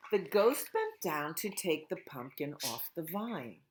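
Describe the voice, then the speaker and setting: A woman children's librarian reading a picture book aloud at storytime, slow and expressive. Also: low-pitched, slight background noise.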